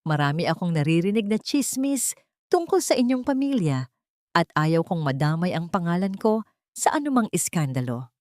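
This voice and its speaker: A woman voicing a cold, haughty woman designer in a dramatic story, measured and threatening. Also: on the low side, sing-song, a little mellow, no room sound, clean studio sound. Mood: stern, threatening. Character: cold, commanding glamorous woman designer set on revenge.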